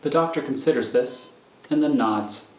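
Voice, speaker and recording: A man's voice: medium pitch, normal speed. A man narrating scripted scene directions for a radio thriller. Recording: echoey room, clear background noise.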